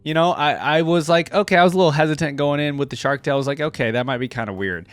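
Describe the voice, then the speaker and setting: A man giving casual, enthusiastic reaction commentary, speaking informally and haltingly. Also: on the high side, no echo. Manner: halting, informal, enthusiastic about what he watches. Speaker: reaction video host. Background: faint music from the video he watches.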